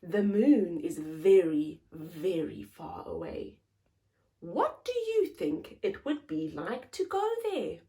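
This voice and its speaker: A woman's voice: fairly low pitch, big pitch swings, slow, dark tone. A woman hosting a story time, reading aloud expressively to young children in simple phrases and putting questions to them.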